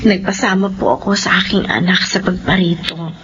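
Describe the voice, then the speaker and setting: A woman's voice: fairly low pitch, steady tone, moderate pace, fairly bright tone, close to an everyday voice. An actress playing a humble blind mother hoping for a cure, meek and respectful, in a Filipino radio drama. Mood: humble, hopeful. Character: humble, hopeful blind parent seeking a cure. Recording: dull radio drama audio, faint noise.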